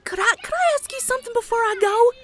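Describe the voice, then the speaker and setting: A woman's voice: very high voice, lively intonation, fast. A woman voicing a frightened, polite little lost filly pony in a comic dub. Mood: relieved, curious. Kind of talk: animated comic dub acting.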